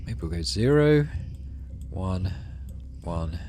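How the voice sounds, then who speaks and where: Lively low-voiced man doing a let's play. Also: slow, a little hiss or hum. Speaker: gaming channel host. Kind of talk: let's play, reading game text aloud with casual commentary.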